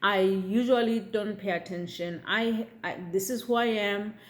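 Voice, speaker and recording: A middle-aged woman from Africa, giving her views in a casual vlog. She talks in a measured way and often checks in with viewers by asking "okay?". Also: mid-range voice. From South Africa. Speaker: vlogger.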